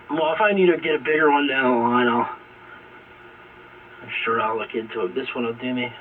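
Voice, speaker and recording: A man's voice: medium pitch, fairly even intonation, moderate pace. A man chatting casually and informally in slang as an amateur radio operator over an AM shortwave link. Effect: AM shortwave radio transmission. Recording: phone-like, distant, noisy AM radio signal.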